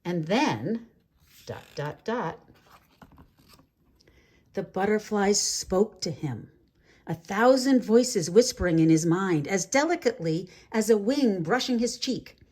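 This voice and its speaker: Woman reading a storybook with lively pitch swings. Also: slow, pauses often, breathy edge. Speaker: storybook reader. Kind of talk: read-aloud children's story narration with asides.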